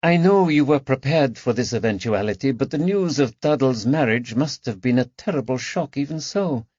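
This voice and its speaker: A man voicing a gentle, tactful woman who comforts a friend with soft words. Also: mid-range voice, lively intonation, normal speed, no echo, voice pushed up, lightly acted. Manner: soft and soothing. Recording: slightly dull dry recording.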